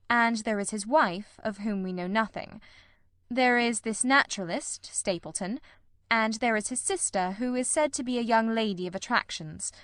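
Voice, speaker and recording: A woman voicing a grave, shrewd male detective in a storybook, speaking in precise, deliberate, formal sentences. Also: fairly high pitch, fairly bright tone, no room sound, studio quality, close to an everyday voice.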